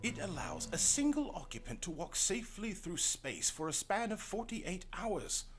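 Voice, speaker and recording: A man playing a cheerful, fussy older gentleman scientist in a comic audio drama, formal and theatrical. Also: high-pitched, big pitch swings, normal speed, faint music behind. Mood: proudly excited.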